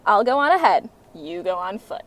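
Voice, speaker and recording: A woman's voice: medium pitch, very animated, moderate pace, a bit bright, poor audio. A woman voicing a playful, friendly, joke-telling sock puppet in a children's show. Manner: playful setup-and-punchline joke delivery.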